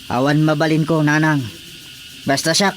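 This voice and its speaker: A man's voice: fairly high pitch, flat delivery, normal speed, a little hiss or hum, lightly acted. A man playing a callous, stubborn husband, curt and complaining, in a radio drama. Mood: curt, defiant. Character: callous, stubborn husband fed up with his wife.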